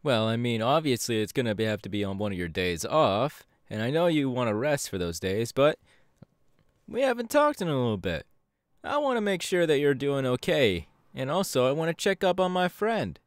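A man's voice: medium pitch, very animated, moderate pace, no echo, studio quality, lightly acted. A man playing a friendly, bashful young hero with a hesitant, playful manner in an ASMR audio roleplay. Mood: warm, tentative.

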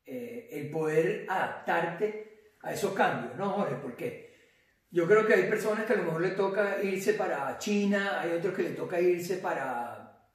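A man's voice: high voice, unhurried. Male interview guest, Venezuelan, casual delivery, heard some way from the mic in a very reverberant room. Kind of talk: casual chat. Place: indoor living room. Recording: echoey room, distant from mic.

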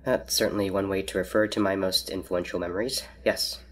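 Audio drama scientist, played by a man: deep, calm, analytical, exact in phrasing, understated delivery. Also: lightly acted. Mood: thoughtful, reserved. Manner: measured, formal, precise. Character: calm, earnest, analytical scientist, self-sacrificing. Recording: faint background ambience.

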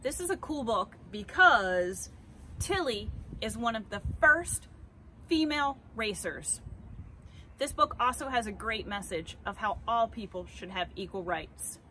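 A woman's voice: fairly high pitch, expressive, unhurried, bright, forceful tone, low-quality recording. A middle-aged woman presenting a children's picture book, recorded outdoors in a driveway a few steps from the camera. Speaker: children's read-aloud presenter. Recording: outdoor noise behind the voice.

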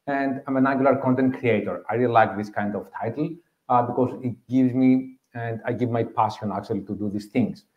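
A man with a Greek accent presents at a live developer meetup, earnestly walking the audience through each idea and circling back to his main points. His voice sits in a middle pitch. The sound is roomy and a little dull, as if picked up from across a meeting room. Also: fairly quick. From Greece. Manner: earnest, explanatory, repeats key points. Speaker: conference speaker. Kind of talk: tech meetup talk.

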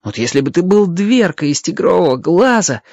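A man voicing a determined, excitable boy adventurer in a children's audio story, exclaiming and talking to himself. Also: sits high, moderate pace, no room sound, lo-fi sound, pitched above the performer's own voice, exaggerated voice. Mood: excited.

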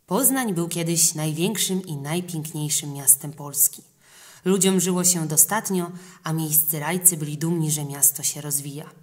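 A woman reading a children's legend as expressive storybook narration. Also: sits low. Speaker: audiobook reader.

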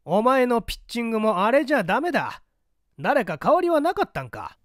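A pompous older bureau chief, acted out by a male reader, brisk and blustering in an old-fashioned gentlemanly way. The pitch is lifted and swings widely, in a dry storybook recording. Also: big pitch swings, dry recording, exaggerated voice. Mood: jovial, blustering.

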